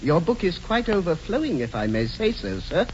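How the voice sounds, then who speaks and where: A reverberant old radio recording of an aged English manservant. He is formal and obsequious, with a highish, swooping voice in 1940s radio comedy style. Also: big pitch swings, moderate pace, muffled recording, a little hiss or hum. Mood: dutiful, formal. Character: deferential, dryly proper aged English manservant.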